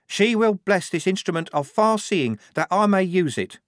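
A man's voice: high voice, animated, moderate pace, no room sound, studio quality. A man reading a story, voicing a fervent, devout young woman soldier who speaks zealously and solemnly.

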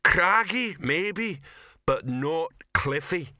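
A man voicing a slow, easily offended male troll made of rock, with a ponderous rumbling delivery. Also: animated, bright, forceful tone, dry recording, clean studio sound, heavily acted. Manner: ponderous, slow to digest things.

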